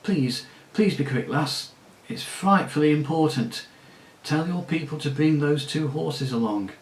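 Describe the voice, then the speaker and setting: A man's voice: fairly high pitch, measured pace, roomy sound, pitched above the performer's own voice, close to an everyday voice. A man voicing a brave young noble girl on the run, speaking in a raised, urgent, commanding way.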